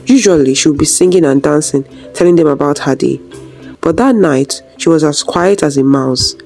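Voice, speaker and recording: Woman, deep soft-toned voice, scripted folktale narration, storyteller. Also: normal speed, vocal fry, faint music behind.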